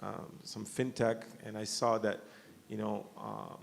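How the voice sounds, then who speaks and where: Gravelly-voiced man on a conference panel. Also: lowish voice, steady tone, a little slow, long reverb tail, a little hiss or hum. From Morocco. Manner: thoughtful, many fillers and restarts. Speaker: panelist, company founder. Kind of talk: panel discussion. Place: university conference hall.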